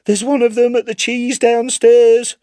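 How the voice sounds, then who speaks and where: A man's voice: very high-pitched, little pitch movement, measured pace, mellow, dry recording, clean studio sound, voice pushed up. A man voicing a terrified young ship's carpenter in a storybook reading, pleading desperately and fervently. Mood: panicked crying out.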